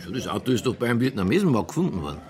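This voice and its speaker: A man playing a gruff, work-shy police inspector in a radio drama, grumbling and offhand, with a Bavarian accent. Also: mid-range voice, sing-song, racing, a crowd in the background, natural voice. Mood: curt, musing. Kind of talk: naturalistic radio drama acting.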